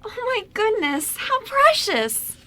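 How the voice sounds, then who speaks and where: High, bright, sweet young woman acted out. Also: sing-song, slow pace, full character voice. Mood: excited, teasing. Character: sweet, supportive young woman, encouraging best friend. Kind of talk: amateur radio drama script reading.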